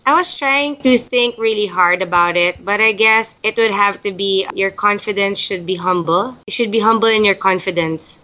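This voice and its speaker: A young woman from the Philippines speaks in a bright, clear voice. She answers interview questions in a loose, chatty way, often restarting her sentences as she thinks aloud. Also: mid-range voice, normal speed, hardly any pauses, low-quality recording. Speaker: guest.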